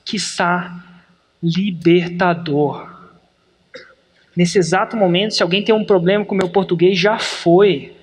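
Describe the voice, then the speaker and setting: A middle-aged man from Brazil hosting a podcast, teaching in an energetic, emphatic way, slowing down hard to stress points. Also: high voice. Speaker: podcast host. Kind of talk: animated business coaching talk.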